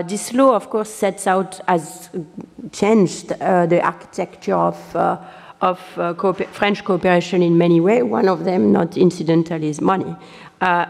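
A woman introducing a guest speaker at a seminar, halting and full of fillers. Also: on the low side, creaky, darkish tone. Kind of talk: introduction speech. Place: large lecture hall. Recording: reverberant lecture hall.

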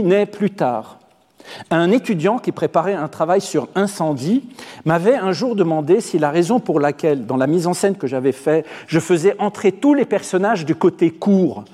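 A man whose first language is Arabic, a theatre director giving a measured, eloquent spoken essay. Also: sits high, mellow. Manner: measured, eloquent, deliberate phrasing. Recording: long hall reverb.

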